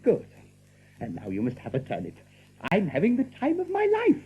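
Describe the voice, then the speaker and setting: Over-polite, fussy gentleman rabbit, wildly swooping intonation, audio drama, muffled recording. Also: a little high, wildly swooping pitch, normal speed, husky, exaggerated voice. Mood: genteel, evasive. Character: effusively polite, genteel host rabbit, smoothly evasive. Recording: muffled, faintly hissy old audiobook recording.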